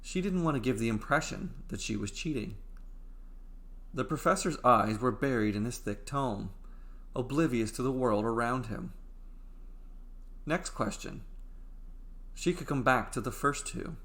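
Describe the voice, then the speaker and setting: A man narrating a scripted story in a dramatic, deliberate way, with pauses between phrases. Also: mid-range voice, a bit bright.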